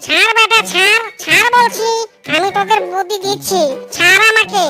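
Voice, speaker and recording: Squeaky, bossy cartoon monkey. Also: male voice, normal speed, music in the background. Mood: boastful, bossy. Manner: bossy, excitable. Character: scheming, boastful monkey trickster, male. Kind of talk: exaggerated cartoon dialogue.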